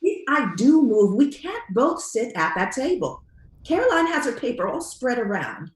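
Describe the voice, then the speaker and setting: High, very animated actress as a matronly sister. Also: female voice, normal speed, reverberant. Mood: exasperated. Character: Mrs. Brigham, placid matronly sister turning horrified. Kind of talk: old-time radio drama acting.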